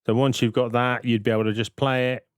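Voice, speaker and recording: A man narrating a software tutorial in an informal, explanatory way, thinking aloud as he goes. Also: mid-range voice, level tone, fast talker, background noise. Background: synth and vocoder demo sounds.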